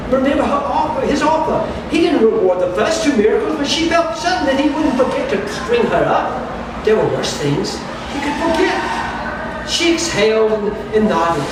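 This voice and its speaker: Very echoey, distant live story reading. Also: sits high. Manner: narrative reading with stumbles and repeated words. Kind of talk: live reading of a steampunk fairy tale. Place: live event space at a carnival. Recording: very echoey, noisy room, distant mic.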